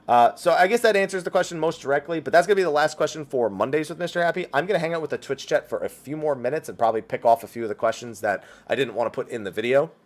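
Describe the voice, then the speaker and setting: A man hosting his weekly Q&A stream, chatting casually and rambling with lots of fillers and restarts, his voice slightly raspy from talking a long time. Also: medium pitch. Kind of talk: casual chat.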